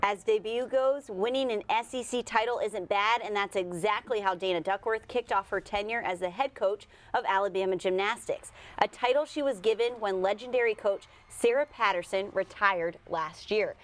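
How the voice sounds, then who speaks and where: A woman's voice: mid-range voice, normal speed, few pauses. A woman anchoring a sportscast, reading scripted news and teasers.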